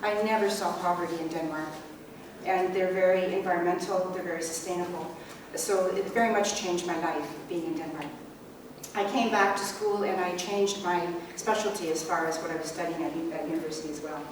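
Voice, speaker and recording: A middle-aged Canadian woman gives an unscripted campaign speech as an election candidate, conversational and halting, repeating words as she goes. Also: fairly low pitch, fairly even intonation. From rural Canada. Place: indoor public meeting room. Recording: echoey room, distant mic, faint noise.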